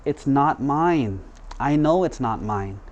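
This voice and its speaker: A man's voice: medium pitch, lively intonation, slow pace, a little mellow, highs cut off, slight background noise. A man voicing a poor, honest woodcutter in a storybook tale, earnest and plaintive. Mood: firm, earnest.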